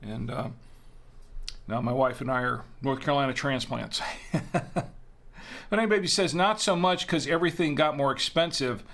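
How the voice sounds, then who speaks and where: A middle-aged man from Florida hosts a relaxed wine livestream and chats at an ordinary pitch. He is folksy and easygoing, often trails off, and restarts his sentences. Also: normal speed, gravelly, long pauses. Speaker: wine review livestream host. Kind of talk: casual livestream chat reading viewer comments.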